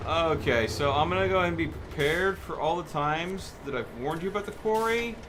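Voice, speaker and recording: A man with a notably high voice streams a game and talks casually to his friends. His manner is exasperated and full of exclamations. Clear background noise, with vehicle rumble, sits behind him. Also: lively intonation, brisk. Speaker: streamer host. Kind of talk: casual game livestream chat.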